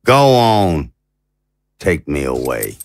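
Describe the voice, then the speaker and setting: An actor playing an anguished, indignant and naive president in an audio drama, with stammering, rhetorical speech. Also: male voice, rumbling low, very animated, slow, background noise, full character voice. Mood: anguished regret. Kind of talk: dramatic audio fiction acting.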